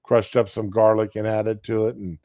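A man's voice: on the low side, level tone, no echo. A man co-hosting a casual livestream chat over a video call, rambling with lots of fillers. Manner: slow, rambling, many fillers. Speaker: co-host. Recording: dull lo-fi call audio.

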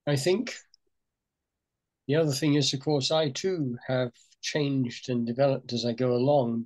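An older man speaking on a video call from a room at home, reflective and unhurried, with frequent pauses and fillers. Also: medium pitch, low-quality recording. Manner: thoughtful, hesitant, many fillers. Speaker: program co-leader. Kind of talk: reflective webinar talk.

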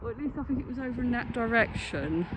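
Clearly noisy, windy phone audio carries a British vlogger's voice from an open outdoor site. The delivery is casual and unscripted, rambling along tentatively like someone thinking aloud on a walk. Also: mid-range voice, animated, fast talker. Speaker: urban exploration vlogger. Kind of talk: casual impromptu walking commentary. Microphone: handheld phone, no headset.

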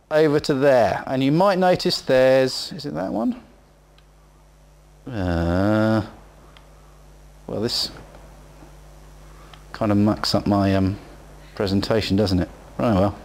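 A man from England with a West Country accent, giving a rambling, chatty conference presentation in a hall. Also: medium pitch. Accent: West Country English. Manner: chatty and rambling, with frequent ums and asides. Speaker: conference speaker.

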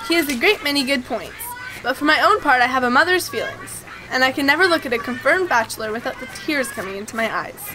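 Sentimental mother duck for audio drama, female actor; raised pitch, noisy outdoor ambience. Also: expressive, quick pace, hardly any pauses. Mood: tender, fussy.

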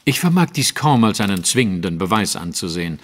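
A composed, probing detective in an audio drama. He speaks precisely and sceptically in a lowish voice, over faint noise. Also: very animated. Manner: measured, articulate, probing. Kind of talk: German audio drama acting.

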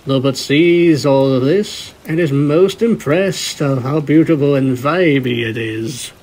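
A man's voice: medium pitch, measured pace, darkish tone, rough recording. A man narrating a cartoon fan fiction romance, reading it out like a story. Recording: faint noise behind the voice.

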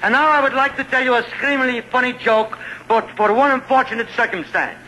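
An actor playing a bumbling, theatrical comic with a mock Russian accent, grandiose and over-polite, in 1940s radio comedy. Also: male voice, very high-pitched, a little fast, bright, forceful tone. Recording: noisy vintage radio recording, live audience.

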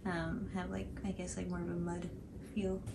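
Young woman co-hosting a craft tutorial, loose conversational delivery full of fillers, clear background noise. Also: on the low side, level tone, moderate pace, a little mellow. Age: young adult. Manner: hesitant, filler-heavy phrasing with frequent "like". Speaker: cosplay tutorial co-host. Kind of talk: casual explanatory chat. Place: small furnished room indoors, on a couch. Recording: clearly noisy home recording.

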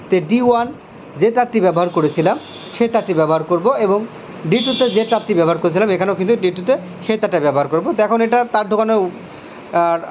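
A man's voice: high-pitched, a little hiss or hum. A man with a Bangladeshi accent giving a hands-on tutorial as an electrician, explaining step by step and repeating himself as he points things out.

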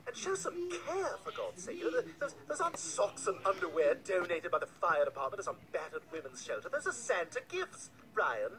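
A man's voice: sits high, big pitch swings, a little fast, hardly any pauses, crowd noise, rough recording. A man voicing a bossy, haughty baby boy in cartoon comedy, speaking in a fussy, scolding, exasperated manner.

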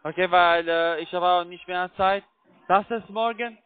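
A man with a foreign accent, not a native speaker, giving halting step-by-step instructions as a call center agent. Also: high-pitched, clean tone, no room sound. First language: not German. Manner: halting, broken grammar. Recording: tinny narrow phone line.

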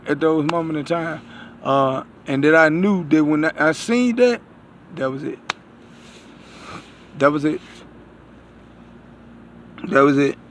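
A man in his thirties giving a rambling religious talk to viewers, halting and repeating his phrases, recorded on a phone outdoors. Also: a little high, slight background noise. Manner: repetitive, halting, circling back over phrases. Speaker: online preacher, self-styled prophet. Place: outdoors beside a building. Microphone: phone at arm's length.

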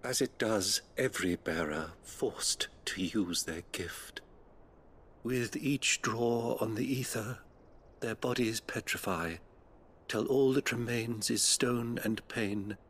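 Slow, solemn British priest. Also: male voice, on the low side, a bit bright, breathy edge, full character voice. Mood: solemn, sorrowful. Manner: measured, compassionate, formal. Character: gentle, solemn middle-aged abbey priest tending the dying. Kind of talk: video game cutscene acting.

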